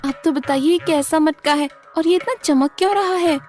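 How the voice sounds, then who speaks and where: A woman's voice: high voice, moderate pace, music in the background, voice pushed up, close to an everyday voice. A woman voicing a dutiful, curious village wife in a cartoon story, with an eager, deferential manner. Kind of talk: Hindi cartoon story dialogue.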